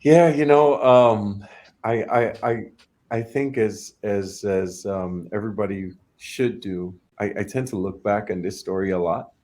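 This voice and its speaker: A man from Trinidad and Tobago speaks as a guest in a relaxed interview, his voice sitting a little low. He thinks aloud, doubling back on words before going on. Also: measured pace. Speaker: startup founder, interview guest. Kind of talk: casual interview talk.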